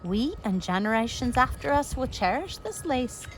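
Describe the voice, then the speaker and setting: Frau spielt tief bewegten Vater, sehr lebhaft. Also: female voice, a little high, very animated, normal speed, noisy background, voice pushed up. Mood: moved, exclaiming. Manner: emotional, exclaiming. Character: loving, deeply moved, tearful father.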